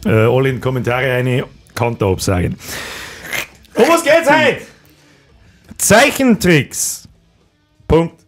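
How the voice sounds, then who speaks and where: A man with a Bavarian accent and a husky voice hosting a casual podcast chat with friends, joking coarsely in an animated way. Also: mid-range voice, slow pace, pauses often, soft background music. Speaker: podcast host.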